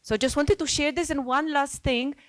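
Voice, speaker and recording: A woman's voice: high-pitched, fairly even intonation, a little fast. A woman from Poland, a native Polish speaker, speaking informally and earnestly in a group discussion, reflecting and thinking aloud. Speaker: NGO worker speaking as a participant.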